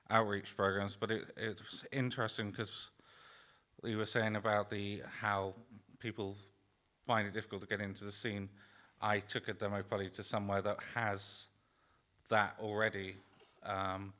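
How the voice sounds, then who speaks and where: Low, even-toned man, speaking haltingly. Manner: halting, trails off mid-phrase. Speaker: demoscene party organizer on a panel. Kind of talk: informal roundtable talk.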